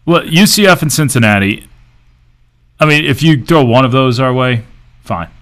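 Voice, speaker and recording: A man's voice: mid-range voice, lively intonation, normal speed, fairly bright tone. A man co-hosting a casual sports talk show, voicing opinionated, emphatic complaints.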